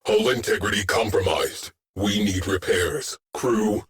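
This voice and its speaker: A stern male starship AI from a sci-fi video game. The voice is on the low side and very slow, with short, clipped, deadpan military callouts. It stays stoic and terse, like an officer on the bridge. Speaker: ship's tactical AI officer.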